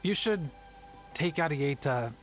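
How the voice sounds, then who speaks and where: A man's voice: a little high, lively intonation, takes it slowly, music in the background. A man playing a remorseful, anxious husband on the run in a video game, speaking apologetically.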